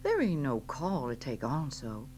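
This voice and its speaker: An old Southern mammy, acted by a woman narrator, low in voice, folksy and crooning. She soothes and scolds with devotion, as in a storybook read aloud, over a noisy recording with hum. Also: huge pitch swings, measured pace, dark tone, exaggerated voice. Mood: gently consoling. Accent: American South. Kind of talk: dramatic audiobook character acting.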